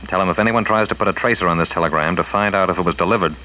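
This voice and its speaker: Guy doing hard commanding cop on stakeout, really deep voice, terse, dull old radio show sound. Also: rapid-fire, natural voice. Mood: businesslike. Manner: terse, authoritative. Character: stern, commanding male police detective on stakeout. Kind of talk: 1940s radio drama acting. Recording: muffled old radio recording, faint noise.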